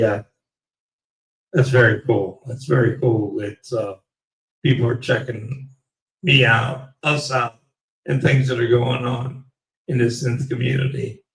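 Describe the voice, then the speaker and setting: A man closing out his live stream in a rambling, halting way, his voice going raspy. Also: lowish voice, echoey room, far from the mic, low-quality recording. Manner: rambling, slow and halting with many fillers. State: voice getting raspy. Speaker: livestream host. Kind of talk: casual live stream sign-off.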